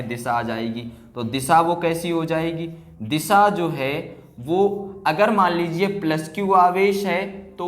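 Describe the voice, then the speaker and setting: Male physics teacher, higher-pitched voice, repetitive classroom dictation with check questions, roomy echo. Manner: repeats key phrases and asks check questions. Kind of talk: classroom lecture at a board, explaining and dictating. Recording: echoey room.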